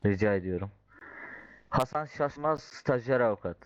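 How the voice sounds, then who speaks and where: A man with a mid-pitched voice speaks as an official in a roleplay game. His delivery is semi-formal and instructive, carried over a muffled, low-fidelity voice chat. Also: animated, normal speed, crowd noise.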